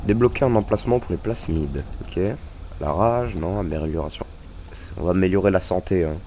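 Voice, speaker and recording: A man's voice: low-pitched, moderate pace, mellow, a little hiss or hum, poor audio. A man with a head cold, chatting casually and hesitantly as he streams a game live. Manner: hesitant, chatty, thinking aloud. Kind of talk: casual gaming livestream commentary.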